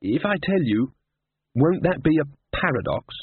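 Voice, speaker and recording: A man voicing a sharp, composed young woman who talks in a raised pitch, careful and direct, on a tinny lo-fi recording. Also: expressive, unhurried, breathy edge, dry recording, full character voice. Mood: earnest.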